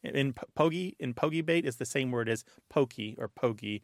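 A man's voice: medium pitch, fairly quick, dry recording. Man co-hosting a radio talk show, dry studio sound. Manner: explanatory, conversational. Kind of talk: casual explanation of word origins on a call-in show.

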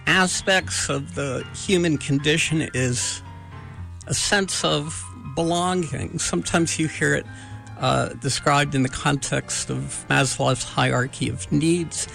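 Slow, gravelly-voiced male interview host. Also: fairly high pitch, bright, forceful tone, faint music behind. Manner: measured, halting with many "uh" pauses. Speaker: radio show host. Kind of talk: slow, thoughtful interview hosting.

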